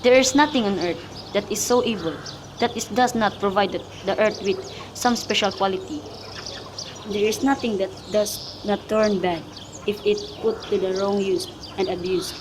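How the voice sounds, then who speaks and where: A holy friar played by a woman recites in a careful, amateur radio-play manner. The mentor's counsel is solemn and gently chiding, at an average pitch. Birdsong and noticeable background noise sit behind the voice. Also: moderate pace, lightly acted. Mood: solemn, musing. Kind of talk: student radio drama, recited modern Shakespeare.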